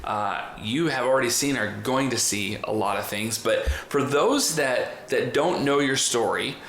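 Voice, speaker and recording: A man's voice: mid-range voice, unhurried, a bit bright. Guy hosting a podcast, chatty and wordy, echoey room, bit of background noise. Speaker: podcast host and trainer. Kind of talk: conversational podcast interviewing. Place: indoor room in the US. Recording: roomy echo, faint background noise.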